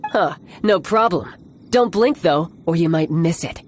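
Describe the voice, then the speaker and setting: Smug young male fighter, light high voice, lively swings, theatrical, game music. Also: measured pace. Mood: confident, playful. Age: young adult. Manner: theatrical, smug, playfully condescending. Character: cocky, smooth-talking young male fighter posing as salesman. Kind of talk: video game voice acting.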